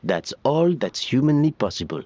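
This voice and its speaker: An actor playing a sincere, encouraging restaurant staff member in an anime-style game, speaking in a measured, supportive way. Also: mid-range voice, sing-song, darkish tone, faint music behind, close to an everyday voice. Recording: slightly dull game audio.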